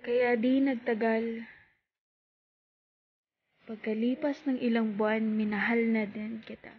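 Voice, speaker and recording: Casting: Filipino woman for heartfelt spoken word, slightly high husky voice, tinny phone-like recording. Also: flat delivery, slow. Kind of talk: spoken-word poetry, dramatic heartfelt monologue.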